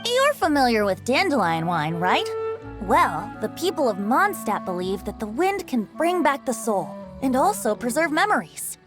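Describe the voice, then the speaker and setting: A woman's voice: fairly high pitch, very animated, normal speed. A woman voicing a breezy, playful young bard in a video game, with flowery, lilting, storyteller-like speech. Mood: storytelling. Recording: music behind the voice.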